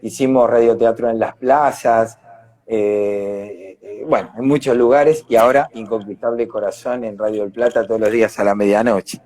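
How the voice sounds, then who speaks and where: An Argentine man, an actor being interviewed over a video call, explaining earnestly in a conversational way with pauses and restarts. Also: fairly low pitch, steady tone, warm tone.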